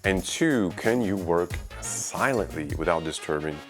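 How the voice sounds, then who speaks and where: Male vlogger, low voice, presenting gear reviews over background music. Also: animated, measured pace. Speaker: video creator and reviewer. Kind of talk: product review vlog narration to viewers.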